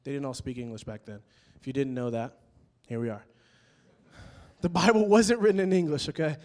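A young man preaching a casual, animated sermon, joking with his listeners as he explains a word from the Bible. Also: mid-range voice, a little fast. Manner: conversational and animated, jokes with the crowd.

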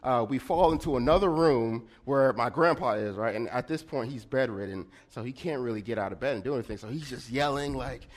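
Man, mid-range voice, slightly nasal, animated sermon storyteller. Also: fairly quick, hardly any pauses. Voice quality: slightly nasal and deeper than usual. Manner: animated storyteller with frequent "right?" check-ins. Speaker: preacher.

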